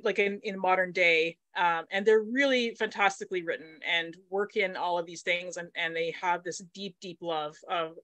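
A woman's voice: on the low side, pushed and bright, no echo. A middle-aged woman from Manitoba, chatting on a video call from her home study, thinking aloud with many fillers and restarts. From Manitoba, Canada. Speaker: author guest. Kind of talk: casual conversation on a video call. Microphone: laptop webcam mic on video call.

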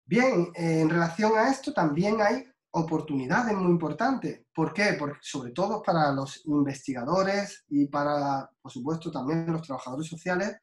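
Man from Spain, guest lecturer, slightly high, speaks slowly with fillers; echoey room over a video call. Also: lively intonation. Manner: slow and deliberate, many fillers and restarts.

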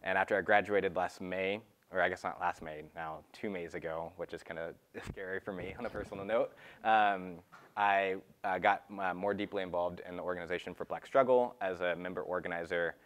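Young guy from texas, really deep voice, slow and careful panel intro. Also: fairly even intonation, a bit bright. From Texas, USA. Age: 20s. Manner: measured, with many fillers. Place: large meeting room.

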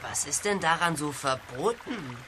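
A woman voicing a small, cheeky, excitable dragon in a children's radio play, full of exclamations. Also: low-pitched, unhurried, noisy background. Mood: carefree.

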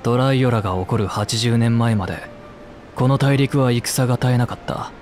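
A man's voice: medium pitch, normal speed, a little mellow, a little hiss or hum, natural voice. An actor playing a knowledgeable male traveller in a fantasy game, explaining things bluntly and matter-of-factly. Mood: calm, matter-of-fact. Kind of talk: scripted game cutscene dialogue.

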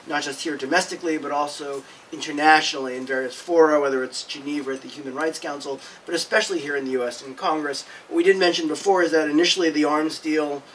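A man's voice: on the high side, fairly even intonation, fast talker, few pauses, fairly bright tone. A middle-aged man moderating a panel discussion in a conference room, speaking emphatically. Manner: emphatic, gestures broadly. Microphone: table mic. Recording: distant mic, faint noise.

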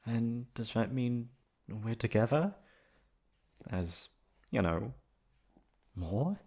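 A man playing a lovestruck young delinquent confessing to his best friend in an intimate ASMR roleplay, tender and halting. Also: medium pitch, expressive, a little mellow, slightly rough, full character voice. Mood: smitten, happy.

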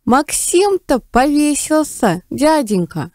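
A high-voiced little peasant girl. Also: big pitch swings, slow, darkish tone, no echo, clean studio sound, full character voice. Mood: breathless news-bearing. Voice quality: thin, small childlike voice. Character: breathless little peasant girl, earnest, excited.

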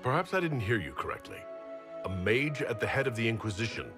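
A man playing a grave, principled mage hero in a fantasy game, speaking earnestly and deliberately. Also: lowish voice, huge pitch swings. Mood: grave, determined. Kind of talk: dramatic fantasy game dialogue. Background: music.

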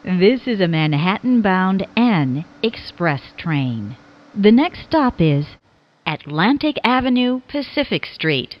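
A woman reading scripted subway announcements as a transit announcer, formally and in separate, clearly spoken phrases. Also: mid-range voice, very animated, slow, lo-fi sound. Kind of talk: scripted subway train announcement, pieced from clips.

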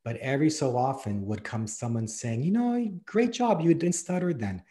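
A man's voice: mid-range voice, animated, normal speed, warm tone. A man in his forties who stutters openly, hosting a casual interview and wandering onto tangents with frequent laughs. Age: 40s. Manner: rambling, tangent-prone, laughs often. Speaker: podcast host and stuttering advocate.

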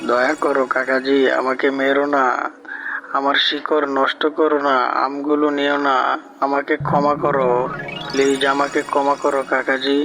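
A man's voice: mid-range voice, monotone, normal speed, bright, forceful tone, soft background music, lightly acted. A man voicing a kindly uncle mango tree in a plaintive, pleading manner. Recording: muffled, dull audio.

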